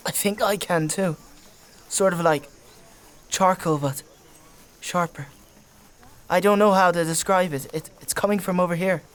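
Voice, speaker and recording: An actor playing a wary, streetwise young companion in a dark fantasy audio drama, talking informally and nudgingly. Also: mid-range voice, measured pace, long pauses, close to an everyday voice. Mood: curious, eager.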